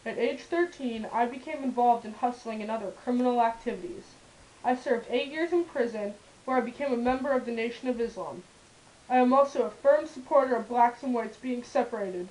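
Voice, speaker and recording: A woman's voice: a little high, a little mellow, a little hiss or hum, close to an everyday voice, rough recording. A woman playing a firm, defiant male activist in a puppet show, narrating his life in the first person.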